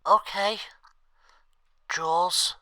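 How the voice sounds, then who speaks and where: A woman's voice: fairly low pitch, steady tone, very slow, sharp tone, heavily acted. A woman playing a small, frightened talking rabbit in an audio drama, pleading politely and hesitantly. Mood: timid.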